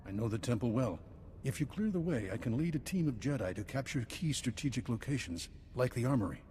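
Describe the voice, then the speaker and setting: Game cast: man as a steady Jedi master, solemn delivery, long reverb. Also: medium pitch, a little fast, a little hiss or hum, close to an everyday voice. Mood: calm. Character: wise male Jedi master, calm and steady. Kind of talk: game voice acting.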